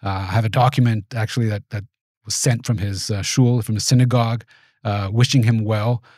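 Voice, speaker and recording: A man in his forties, raised in the United States, giving thoughtful, measured interview answers in a small studio. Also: lowish voice, steady tone, darkish tone, dry recording. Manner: measured, thoughtful, with frequent restarts. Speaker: guest, venture capitalist. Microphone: studio mic on boom arm.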